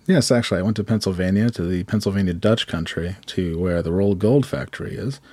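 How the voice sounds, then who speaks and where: A man with a hoarse voice, telling rambling self-deprecating stories in casual chat. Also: low-pitched, fast talker, a little mellow, dry recording. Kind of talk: casual comedic storytelling.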